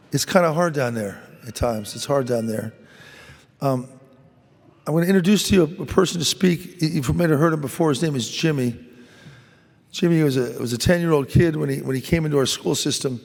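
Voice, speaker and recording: Man, gravelly, halting and earnest, repeats words, church reverb. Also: medium pitch, a little mellow. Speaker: mission worker speaking at Mass. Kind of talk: storytelling talk to a congregation.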